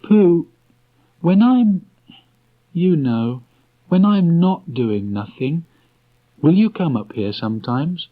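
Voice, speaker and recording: A man puts on a tender, dreamy young boy from a storybook. The voice is raised high, halting and earnest. It comes from a dull cassette tape. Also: big pitch swings, heavily acted. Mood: hesitant, tender. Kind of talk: storybook character voice.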